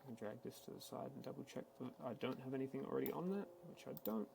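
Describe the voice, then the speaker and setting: A man giving a casual, matter-of-fact, step-by-step software walkthrough, speaking unscripted like a tech support instructor. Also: medium pitch, expressive, fast, creaky, a little hiss or hum. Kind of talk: screen-recorded software tutorial, unscripted walkthrough.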